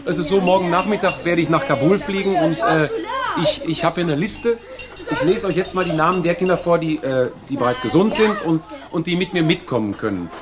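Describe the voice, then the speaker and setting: A man playing a flustered, halting aid worker who keeps calling children to attention in a naturalistic radio drama. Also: sits high. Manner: halting, repeatedly calls for attention. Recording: loud crowd of children and music. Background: noisy children and loud music.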